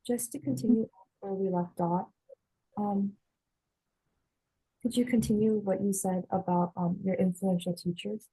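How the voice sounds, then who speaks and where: A woman's voice: medium pitch, fairly even intonation, unhurried, pauses often, mellow, breathy edge, low-quality recording. A woman interviewing a guest over a video call, asking questions politely and hesitantly. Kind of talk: oral history interview.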